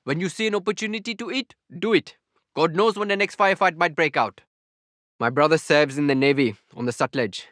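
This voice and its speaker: A man playing an earnest, steadfast soldier in a war game, measured and instructive with his brothers-in-arms. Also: a little high, a bit bright, no room sound, clean studio sound, close to an everyday voice. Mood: wistful. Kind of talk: video game unit voice lines.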